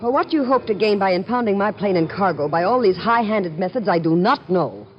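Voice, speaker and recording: A woman's voice: medium pitch, lively intonation, brisk, close to an everyday voice. An actress playing a proud, guarded woman pilot who speaks formally and defiantly in an old radio drama. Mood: indignant, defiant. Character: proud, indignant, guarded female airline pilot. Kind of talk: 1950s radio drama acting. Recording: muffled old radio recording with music.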